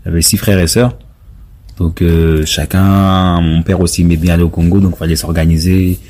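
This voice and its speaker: Man, thirties, very low voice, mellow, hesitant, interview guest. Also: level tone. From France. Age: 30s. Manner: hesitant, halting with many fillers. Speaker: interview guest, member of parliament. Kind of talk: casual interview talk.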